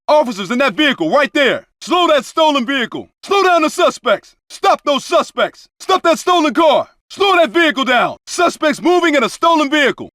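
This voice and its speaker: High-pitched, commanding undercover cop. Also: male voice, fairly bright tone, dry recording, studio quality. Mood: commanding, urgent. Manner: urgent, clipped, barking orders. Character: tough, commanding undercover police officer in action. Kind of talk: video game combat callouts.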